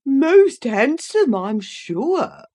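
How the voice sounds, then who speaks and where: A woman's voice: high voice, very animated, very slow, warm tone, voice pushed up, heavily acted. A woman reading aloud, voicing a fussy old household nurse who drawls her words.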